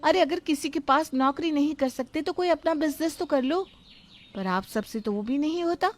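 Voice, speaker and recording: A woman plays a young married woman in a moral story. She is practical and fed up, speaking her mind insistently in a high voice. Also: normal speed, background noise, natural voice. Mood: scolding. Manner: scolding, insistent.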